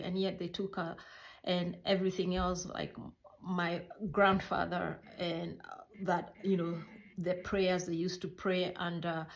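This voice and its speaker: A middle-aged woman with a Kenyan accent telling personal stories in a halting, reflective way, searching for words; English is not her first language. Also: on the low side. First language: a Kenyan mother tongue. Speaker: healthcare worker recounting her life. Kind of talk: personal storytelling.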